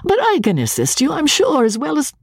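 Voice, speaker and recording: A woman's voice: sits high, wildly swooping pitch, brisk, dry recording, voice pushed up, full character voice. A woman voicing a nervous young bride who stammers in a breathless, near-whispered voice. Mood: anxious, pleading.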